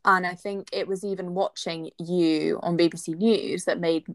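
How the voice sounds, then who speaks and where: A woman's voice: fairly low pitch, fairly even intonation, moderate pace. A woman talking casually and agreeably as a guest in a conversational interview.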